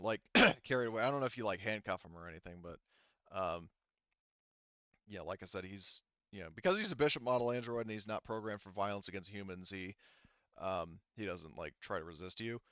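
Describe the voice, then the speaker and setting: Low-voiced man rambling as game master. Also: a little fast, a bit bright, clear, resonant tone, dry recording. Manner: rambling, casual, frequent hedging. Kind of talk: unscripted tabletop game narration. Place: online voice call. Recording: dull lo-fi voice call.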